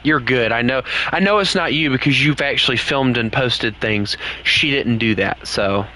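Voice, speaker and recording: Man, young adult, Southern US accent, bright voice, rambling casual stream chat, mid pitch. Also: moderate pace, slight background noise, low-quality recording. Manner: rambling, candid, talks to other players while playing. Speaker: gaming streamer.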